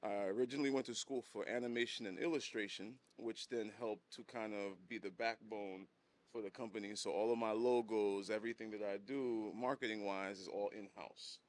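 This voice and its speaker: A relaxed man in his thirties with a lowish voice, talking through his journey as an interview guest. He chuckles between thoughts and stumbles over repeated words in an easygoing, conversational way. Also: normal speed. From Haiti. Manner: laid-back, chuckles often, repeats words. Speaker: guest, hat brand designer. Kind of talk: casual interview storytelling.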